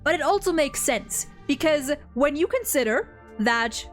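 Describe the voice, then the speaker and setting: Female host, higher voice, off-the-cuff and emphatic chat with rambling tangents, light music underneath. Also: expressive, unhurried. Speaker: host of a video game story ranking discussion. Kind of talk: casual opinionated chat, unscripted.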